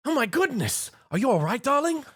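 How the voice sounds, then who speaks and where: A man voicing a dramatic, refined young woman who is a fashionista, in a high put-on voice. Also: big pitch swings, a little fast, fairly bright tone, slightly rough, heavily acted. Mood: concerned.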